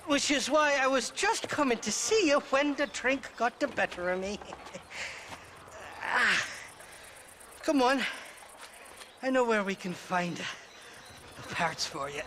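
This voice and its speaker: An actor playing a wheedling, drunken Irish rogue in a video game, theatrical and mock-innocent. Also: male voice, extremely high pitch, expressive, slow, pauses often, pushed and bright, a little hiss or hum, exaggerated voice. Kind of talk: video game cutscene acting.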